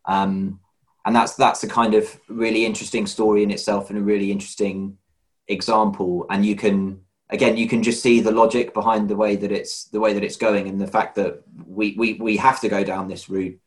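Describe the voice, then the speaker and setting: A man's voice: lowish voice, little pitch movement, brisk. A man hosting a podcast, asking questions in a hesitant way and often repeating words as he searches for them. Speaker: podcast host. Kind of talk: conversational interview.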